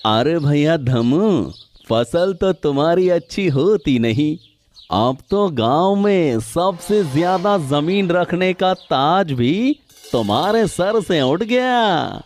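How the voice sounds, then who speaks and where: Teasing cartoon villager, male actor; slow, mocking drawl, animated intonation, needling. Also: on the high side, soft background music. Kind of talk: animated moral story character dialogue.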